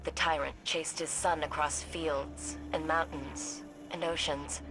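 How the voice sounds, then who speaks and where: A woman playing an earnest, thoughtful sci-fi ally in a video game, with a measured, dramatic and reflective delivery. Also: sits low, fairly even intonation, poor audio. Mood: solemn storytelling. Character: earnest, thoughtful female sci-fi ally guiding a soldier by comms. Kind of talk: scripted video game dialogue and storytelling. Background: prominent music.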